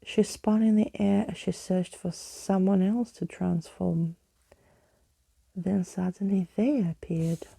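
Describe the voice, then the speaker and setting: A woman reading a storybook as ASMR, in a soft, breathy, gently hushed voice that slips into whispering. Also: mid-range voice, no room sound. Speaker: ASMR reader, narrator.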